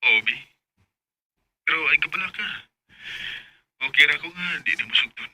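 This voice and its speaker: Forceful, bright-voiced young Bisaya suitor. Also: male voice, fairly high pitch, animated, moderate pace, exaggerated voice. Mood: earnest, lovelorn. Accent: Bisaya, Philippines. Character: earnest young male suitor, hopeful and tentative. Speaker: radio drama actor. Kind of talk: Bisaya radio drama acting.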